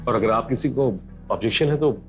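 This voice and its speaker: A man's voice: mid-range voice, brisk, soft background music, close to an everyday voice. A man playing an ambitious, scheming grandson, a young businessman in an audio drama, speaking with calculation. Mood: smooth, calculating. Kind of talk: Hindi audio drama acting.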